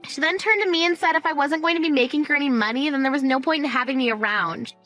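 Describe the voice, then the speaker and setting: A woman's voice: sits high, quick pace, a bit bright, faint music behind, pitched above the performer's own voice. A woman playing a frightened teenage daughter, whiny and pleading, in a dramatized story. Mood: alarmed, tattling.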